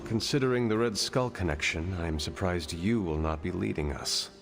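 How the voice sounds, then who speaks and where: An actor playing an earnest hero in a video game cutscene, speaking formally and stiffly without contractions. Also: male voice, low-pitched, normal speed, vocal fry, music in the background. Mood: surprised.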